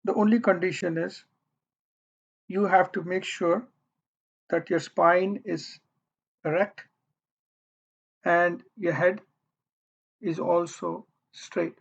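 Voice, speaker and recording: A meditation guide speaking gently and deliberately, leaving long pauses between short phrases. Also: mid-range voice, steady tone, vocal fry, slightly rough. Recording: dull, muffled lo-fi audio.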